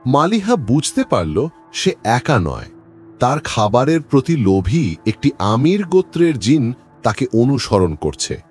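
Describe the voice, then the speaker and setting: A man's voice: mid-range voice, big pitch swings, a little slow, darkish tone, slightly rough, soft background music. Dramatic male horror narrator. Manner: dramatic storytelling with an audience question.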